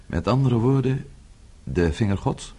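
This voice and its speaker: An actor in a radio drama playing a worried, courteous husband, hesitant and polite, with a very husky voice. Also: male voice, medium pitch, expressive, unhurried, dark tone. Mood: doubtful, serious. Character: worried, courteous husband, deferential to his father-in-law.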